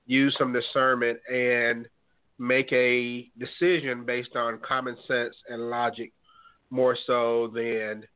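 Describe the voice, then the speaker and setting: Guy hosting a call-in radio show, kinda stuffed up from a cold, steady middle voice, earnest, tinny phone sound. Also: medium pitch. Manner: measured, earnest, with fillers.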